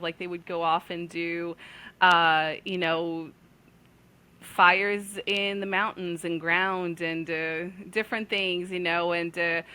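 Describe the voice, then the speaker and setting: A woman in her forties from Brazil, earnestly telling her life story as a guest, pausing often on fillers. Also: on the low side, pushed and bright, smooth. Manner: earnest, with many filler pauses. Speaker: guest, intuitive healer. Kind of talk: casual storytelling.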